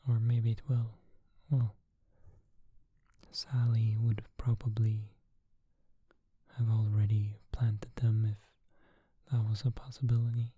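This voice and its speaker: A storyteller whispering a fantasy tale slowly and softly, sometimes thinking aloud. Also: mid-range voice, flat delivery, husky, no echo, highs cut off.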